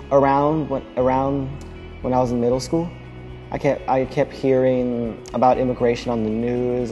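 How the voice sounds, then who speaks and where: An earnest young man from Alabama speaks in a mellow, warm voice. He tells his own story as a reflective testimony, pausing to restart phrases, and soft music plays faintly behind him. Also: medium pitch, low-quality recording. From Alabama, United States. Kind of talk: personal testimony speech.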